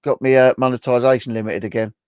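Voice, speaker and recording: A man's voice: medium pitch, steady tone, fast, a little mellow, clear, resonant tone, no echo, clean studio sound. A man hosting a casual livestream from his garden shed, teasing his co-host and laughing as he signs off. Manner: teasing, laughing, chatty sign-off. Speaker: channel host.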